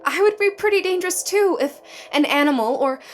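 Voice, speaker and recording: A woman acting in an audio drama as a defiant, idealistic new recruit, speaking in a halting, emotional, indignant way. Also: very high-pitched, animated, a little hiss or hum. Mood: indignant, resolute.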